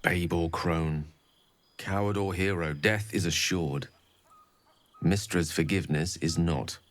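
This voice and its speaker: An actor playing an eloquent, melancholy wizard in a fantasy game, speaking in an intimate, poetic and measured way. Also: male voice, deep voice. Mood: grave, resigned. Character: romantic eloquent wizard man, wistful and melancholy. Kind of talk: fantasy video game dialogue acting.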